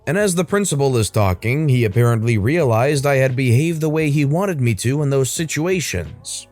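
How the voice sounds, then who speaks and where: Deep-voiced male story narrator. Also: no echo. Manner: steady storytelling, addresses the audience. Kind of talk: reading stories aloud.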